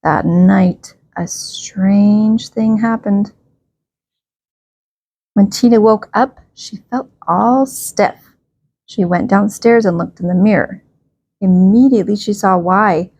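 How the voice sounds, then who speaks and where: A woman reading a children's bedtime story aloud, expressively and with emphatic, drawn-out phrases. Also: medium pitch, warm tone, husky, no room sound.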